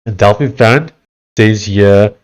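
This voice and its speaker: Afrikaans-accented man, lowish voice. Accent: South African, Afrikaans. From South Africa. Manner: conversational, reads text aloud haltingly. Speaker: livestreaming coder. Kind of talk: casual livestream chat, reading chat and AI output aloud.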